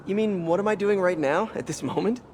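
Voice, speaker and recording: A man's voice: high voice, fairly quick, a little mellow, slight background noise, close to an everyday voice. An actor playing a caring, wry young male superhero, conversational and earnest, in a video game cutscene. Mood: reassuring.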